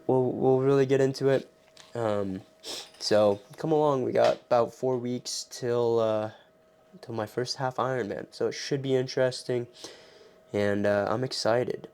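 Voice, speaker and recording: Hoarse young man vlogging with a cold. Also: on the low side, darkish tone. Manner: loose and rambling, many ums and restarts. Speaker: vlogger training for a triathlon. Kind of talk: casual vlog chat.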